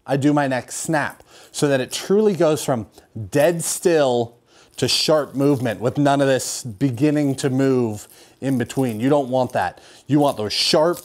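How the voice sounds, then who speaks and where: A young man with a hoarse, slightly raspy voice from a cold teaches to camera in a garage. His pitch sits in the middle, and he talks with energy and gestures as he explains. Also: a little mellow. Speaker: fishing show host. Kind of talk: instructional talk to camera. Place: garage with fishing rods on the wall.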